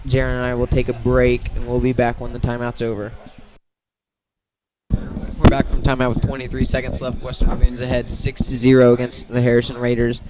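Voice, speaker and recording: Male American football commentator, very flat intonation, mid-pitched, halting and self-correcting as he calls live plays. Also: rough recording. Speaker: play-by-play commentator. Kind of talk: live radio football play-by-play.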